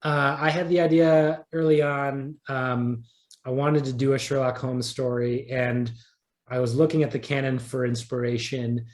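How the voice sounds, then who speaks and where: A man's voice: mid-range voice, flat delivery, normal speed. A man being interviewed as a writer in a casual podcast chat, thinking aloud and rephrasing as he goes. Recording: roomy, echoey sound.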